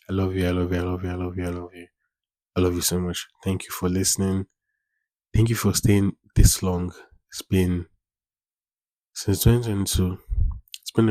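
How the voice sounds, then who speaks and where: Low flat-voiced young man. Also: dry recording. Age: 20s. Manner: rambling, repeats phrases, informal slang. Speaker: podcast host. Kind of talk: casual solo podcast monologue.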